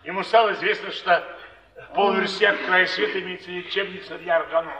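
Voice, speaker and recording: An actor playing a cynical, commanding down-and-out cardsharp, gravelly and sardonic, with rhetorical theatrical delivery. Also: male voice, sits high, fairly even intonation, fairly quick, a crowd in the background, natural voice. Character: cynical, forceful down-and-out cardsharp philosopher. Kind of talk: theatrical stage acting. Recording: echoey theatre hall, distant, muffled.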